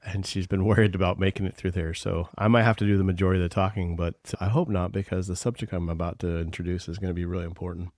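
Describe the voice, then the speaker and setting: A man's voice: low voice, flat delivery, fast talker, hardly any pauses, a little mellow, no echo, studio quality. A man co-hosting a podcast as a coach, talking in a blunt, teasing, earnest way. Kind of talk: casual podcast chat.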